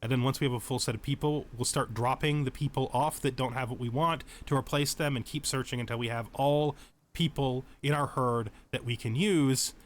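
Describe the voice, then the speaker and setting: A man's voice: medium pitch, steady tone, a little fast. A man giving unscripted tutorial commentary over a video game, explaining things step by step and often repeating or rephrasing as he goes. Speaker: tutorial let's play host.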